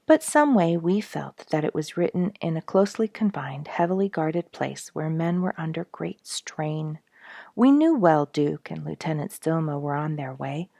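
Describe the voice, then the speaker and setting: A woman's voice: deep voice, gravelly, a little mellow, no echo, studio quality. A woman hosting a story reading, telling a book chapter expressively to young listeners with emphatic, friendly storyteller phrasing.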